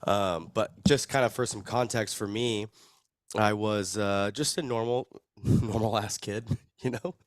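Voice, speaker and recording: Very deep male voice, American, young, stuffed with a cold, casual slangy storytelling, dry close recording. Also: measured pace, dry recording. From Arizona, United States. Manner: laid-back slangy, chuckles at own story. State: sick with a cold. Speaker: podcast guest, recovery video producer.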